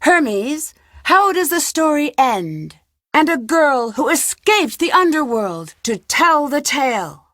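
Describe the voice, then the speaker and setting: A woman voicing a bold, composed stage storyteller in a video game, with deliberate theatrical delivery. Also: sits high, very animated, bright, forceful tone, no echo, studio quality, exaggerated voice. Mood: dramatic. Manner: deliberate, dramatic.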